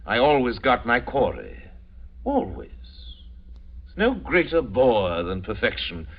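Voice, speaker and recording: An actor playing a cultured, boastful general who hunts men for sport, in 1940s radio drama style, savoring every word. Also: male voice, medium pitch, wildly swooping pitch, a little slow, vocal fry, full character voice. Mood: smug, urbane. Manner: theatrical, urbane, boastful, savoring his words. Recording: dull lo-fi old radio recording.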